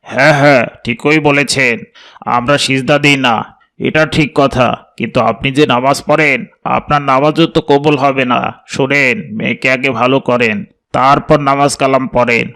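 A man acts out a smug cartoon moneylender who loves to taunt. His voice sits at an ordinary male pitch and drips with scorn as he needles his neighbours. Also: steady tone, measured pace, sharp tone. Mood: scornful, taunting. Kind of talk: cartoon drama dialogue.

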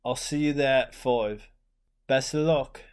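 Man playing showy carrot TV pitchman. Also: unhurried, clean tone, natural voice. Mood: upbeat. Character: upbeat male carrot celebrity pitchman. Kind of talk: cartoon TV commercial pitch.

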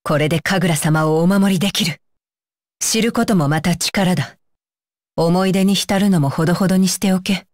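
A woman's voice: on the low side, normal speed, darkish tone, dry recording, clean studio sound, close to an everyday voice. A woman voicing a stern, dutiful young female ninja bodyguard for an anime game, with clipped, commanding and blunt phrasing. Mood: calm, instructive. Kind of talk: Japanese anime game voice lines.

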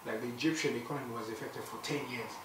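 A man's voice: medium pitch, fast, slight background noise. A young man from Zimbabwe, a clothing brand founder, chatting in a sit-down interview with plenty of fillers and restarts. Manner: animated, many fillers and restarts. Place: indoor room, seated on a sofa. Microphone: far camera mic. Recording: echoey room, voice from a distance.

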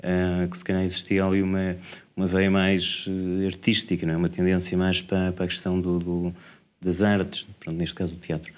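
A man in his thirties with a very deep voice answers questions on a TV studio set. He talks thoughtfully and hesitantly, full of fillers and restarts. A lapel mic picks him up closely. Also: monotone, brisk. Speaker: actor and drama teacher. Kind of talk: interview answers.

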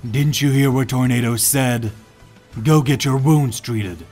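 A man's voice: medium pitch, a little slow, darkish tone, soft background music. Man playing a blunt, stern alien warrior. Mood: curt, commanding. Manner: blunt, commanding. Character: stern alien warrior, confident and impatient male. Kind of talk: fan audio drama acting.

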